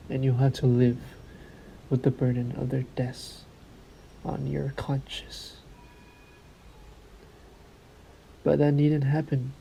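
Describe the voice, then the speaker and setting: Ancient male vampire played by a man for roleplay drama: dark, mellow, unhurried, coldly seductive, sadistic, faint storm noise behind. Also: medium pitch, creaky, poor audio. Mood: cruel menace. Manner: slow, silky menace, formal and condescending endearments. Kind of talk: scripted roleplay audio drama monologue.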